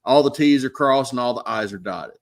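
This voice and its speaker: Dude on a stream breaking things down, casual, thoughtful, normal pitch. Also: male voice, brisk. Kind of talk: casual chat.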